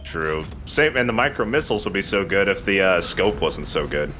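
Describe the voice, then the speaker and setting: A man with a bright, punchy, fairly low voice commentates a video game. He talks casually, rambling and joking with some swearing. There is faint noise and ambience behind him. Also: sing-song, normal speed. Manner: profane, rambling, jokey. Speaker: host of a video game let's play. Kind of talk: casual gameplay commentary with co-commentary banter.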